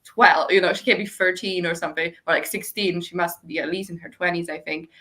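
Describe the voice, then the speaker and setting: A woman with a fairly low voice talks through books in a casual group discussion. She is effusive and gushing, and her wording is informal and full of slang. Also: fairly even intonation, fairly quick, pushed and bright. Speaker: book discussion co-host. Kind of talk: casual book chat.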